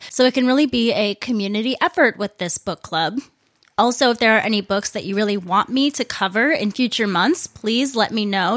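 A woman's voice: medium pitch, a bit bright, no room sound, clean studio sound. A woman hosting her own podcast in a casual, chatty way, a little congested, often repeating words for emphasis. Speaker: podcast host. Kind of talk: casual solo podcast talk.

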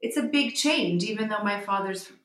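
Thoughtful Canadian woman in an echoey room. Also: mid-range voice. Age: 40s. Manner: reflective, pausing and restarting phrases. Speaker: guest, magazine editor. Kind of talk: interview conversation. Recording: roomy echo.

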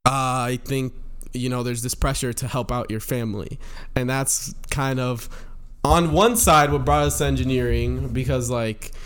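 A man's voice: mid-range voice, steady tone, unhurried, a bit bright. Laughing young man co-hosting a podcast. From New Jersey and the Dominican Republic. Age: 20s. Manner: laughs often, hesitant with many fillers. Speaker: podcast co-host. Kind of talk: casual chat between friends.

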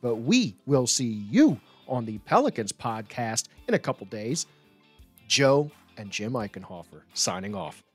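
A man's voice: medium pitch, sing-song, measured pace, breathy edge, soft background music. A man hosting a sports podcast with casual, conversational talk about the team.

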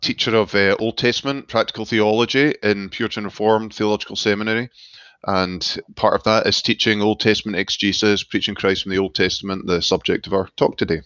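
A middle-aged man with a Glasgow Scottish accent, a seminary professor speaking as an interview guest in a measured, thoughtful way. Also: on the low side, steady tone, fairly bright tone, smooth, no echo, dull audio. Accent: Glaswegian Scottish. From Scotland. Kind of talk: reflective interview talk. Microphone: remote call line.

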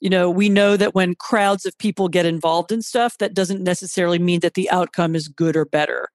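A man's voice: sits high, steady tone, a little fast, dry recording, clean studio sound. Thoughtful male podcast interviewer. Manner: thoughtful, restarts questions, repeats words.

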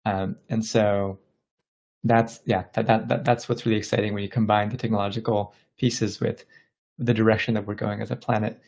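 A man's voice: on the low side, little pitch movement, normal speed, vocal fry, darkish tone. A man from Bangladesh speaking as an interview guest, explaining things casually and thoughtfully, often searching for his words. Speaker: tech company founder interviewed as podcast guest.

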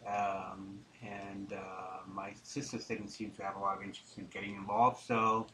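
Middle-aged male interviewee, lowish pitch, halting conversational storyteller, some background noise. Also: completely flat delivery, pushed and bright, poor audio. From Denmark. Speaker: interview guest, tobacco businessman. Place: studio, live interview.

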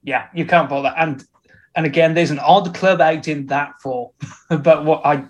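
A man's voice: a little high, steady tone, moderate pace. A man co-hosting a casual football podcast, teasing and joking with his co-host and laughing often. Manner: teasing, jokey, laughs a lot.

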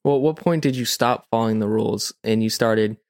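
A man's voice: fairly low pitch, brisk, a little mellow, no echo, studio quality. A man co-hosting a casual conversation, informal and direct, with "like" often dropped into his sentences. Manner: informal, direct, peppers speech with "like". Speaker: podcast co-host. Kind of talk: casual chat, questioning.

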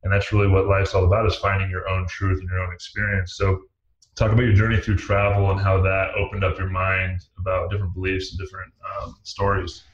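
Podcast-style interviewer: American male, lowish pitch, little inflection, conversational, echoey lo-fi audio. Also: monotone, a little fast. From United States. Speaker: interviewer, host. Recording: echoey room, dull lo-fi audio.

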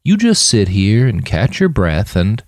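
A man's voice: lowish voice, huge pitch swings, measured pace, dry recording, studio quality, heavily acted. A man playing a concerned, plain-spoken soldier comrade in an audiobook. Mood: calming. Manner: colloquial, concerned. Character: gruff, caring male soldier comrade.

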